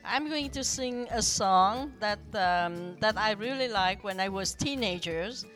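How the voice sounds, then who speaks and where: Woman in her 70s, native Vietnamese speaker, slow halting speech, faint music behind. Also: medium pitch, expressive, a bit bright. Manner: hesitant, many fillers, non-native grammar. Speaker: podcast guest. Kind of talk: casual interview chat.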